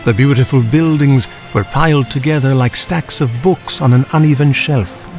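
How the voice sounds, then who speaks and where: A man's voice: medium pitch, darkish tone. A man reading a children's storybook as a scripted audiobook narrator.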